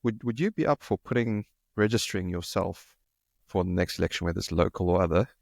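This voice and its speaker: A man's voice: sits low, fairly quick, vocal fry, darkish tone, no room sound, studio quality. A man from South Africa co-hosting a podcast, casually chatting and tossing out playful questions and challenges. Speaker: podcast co-host. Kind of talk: casual conversation.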